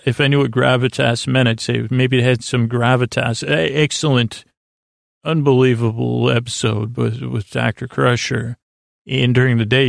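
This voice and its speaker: A man hosting a sleep podcast, talking in a soft, lulling, meandering way that stops, restarts and wanders off track. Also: medium pitch, vocal fry, no room sound, studio quality. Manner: meandering, self-interrupting, many restarts. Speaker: podcast host. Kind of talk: rambling late-night sleep podcast talk.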